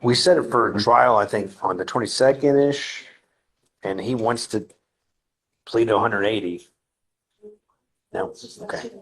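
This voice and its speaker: A man speaking as an attorney in a courtroom, brisk, polite and businesslike. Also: on the low side, a bit bright, poor audio. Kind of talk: addressing the court.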